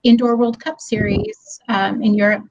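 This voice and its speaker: Female guest presenter, slightly high voice, friendly and chatty with fillers, remote call from a hallway. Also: sing-song, normal speed, creaky, darkish tone, highs cut off, slight background noise. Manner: friendly, laughs easily, many ums. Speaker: guest speaker. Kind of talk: webinar presentation. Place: office hallway.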